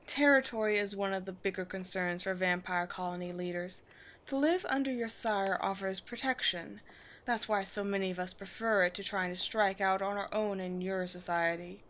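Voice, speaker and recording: A woman voicing a proud, wry vampire queen with a formal, measured, teasing manner in a scripted audio roleplay. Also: medium pitch, fairly bright tone, lightly acted. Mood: composed, dignified. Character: poised vampire queen, proud, wry, secretly gentle colony leader.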